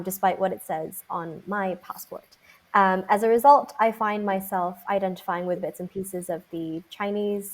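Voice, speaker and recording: A woman's voice: mid-range voice, darkish tone. A young woman from Singapore moderating a panel discussion over a video call, reflective, with frequent um fillers.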